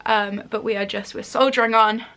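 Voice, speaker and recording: A woman's voice: a little high, steady tone, a little fast, sharp tone. A young woman in her twenties, sounding nasal from a cold, chatting casually and upbeat in a fashion vlog full of fillers. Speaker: fashion vlogger.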